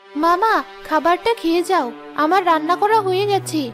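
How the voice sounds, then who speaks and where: A woman's voice: very high-pitched, moderate pace, fairly bright tone, faint music behind. A woman voicing a meek, sweet, overworked orphan girl with a plaintive, polite manner in a cartoon. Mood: dutiful. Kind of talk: cartoon moral-tale dialogue.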